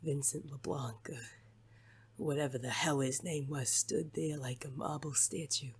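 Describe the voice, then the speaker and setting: An actor playing a gruff, defiant, world-weary detective in a noir audio drama, blunt and sardonic, with a husky rasp. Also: mid-range voice, fairly even intonation, a little slow. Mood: brooding narration.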